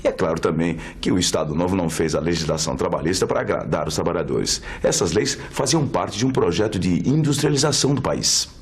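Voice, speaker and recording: A man's voice: deep voice, lively intonation, fast talker, few pauses. A Brazilian man presenting an educational documentary in a conversational narrating style.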